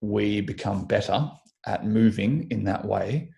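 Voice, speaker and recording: Young Australian man, physiotherapist guest, very deep voice with vocal fry, thoughtful and hesitant. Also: warm tone. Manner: measured, with frequent restarts and ums. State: slightly croaky in the morning. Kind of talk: thoughtful interview answers.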